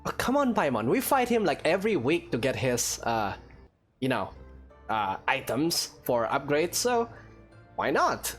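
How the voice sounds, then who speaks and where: A man's voice: medium pitch, very animated, a little slow, a bit bright. A young man giving casual let's play commentary, hesitant and playfully sarcastic. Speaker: gaming YouTuber. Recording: game music behind the voice.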